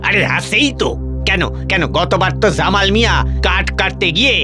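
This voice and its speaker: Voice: mid-range voice, moderate pace, music in the background, natural voice. An actor playing a concerned, gossiping villager in a cartoon, with a chatty manner. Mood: gossipy concern. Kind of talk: dramatic cartoon voice acting.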